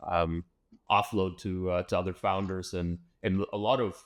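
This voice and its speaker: Male host, somewhat low voice, eager and chatty, lots of restarts. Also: fairly even intonation, moderate pace. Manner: upbeat, enthusiastic, many fillers and restarts. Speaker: podcast host. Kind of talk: casual interview chat.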